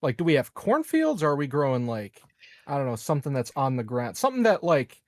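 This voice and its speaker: A man's voice: medium pitch, huge pitch swings, a little fast, no room sound, clean studio sound. A man chatting casually as a player in a tabletop game, with a wry, joking manner.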